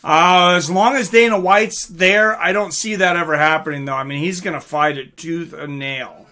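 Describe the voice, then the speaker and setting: A man with a fairly high, very bright and forceful voice hosts a casual live stream. He talks informally and loosely, drifting between viewer comments and his own replies, with some mild swearing. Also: steady tone, moderate pace. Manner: informal, mildly profane, rambling.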